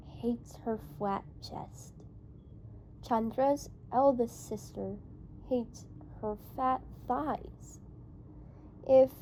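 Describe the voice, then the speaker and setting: Slow, halting woman reading aloud. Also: fairly high pitch, dark tone, a little hiss or hum, poor audio. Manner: halting delivery, rereads stumbled phrases. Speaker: amateur book reader. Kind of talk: read-aloud of a verse novel.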